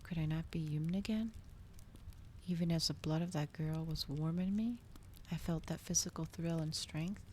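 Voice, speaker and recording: A woman doing a soft, whispered ASMR reading as a streamer, slow and breathy, in a hushed manner. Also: sits low, slight background noise. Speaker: streamer host reading aloud.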